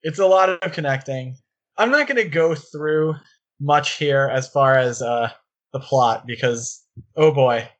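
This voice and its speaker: Male podcast co-host, mid-pitched, chatty and enthusiastic film talk with rambling asides. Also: a little slow, low-quality recording. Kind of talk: casual film discussion.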